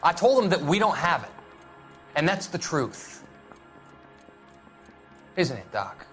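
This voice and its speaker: A man's voice: on the high side, big pitch swings, takes it slowly, a bit bright, faint music behind, full character voice. A man playing a defensive, evasive suspect under questioning in a dramatic video game.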